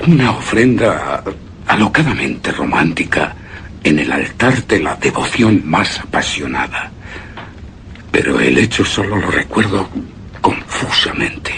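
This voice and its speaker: A man playing a brooding, grief-haunted widower in a horror radio drama, speaking slowly and haltingly with breathy whispered words. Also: low voice, sing-song, fairly bright tone, a little hiss or hum, exaggerated voice. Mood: melancholy reminiscing. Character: brooding, grief-haunted widower recalling his lost beloved wife. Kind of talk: suspense and horror radio theatre acting, first-person narration.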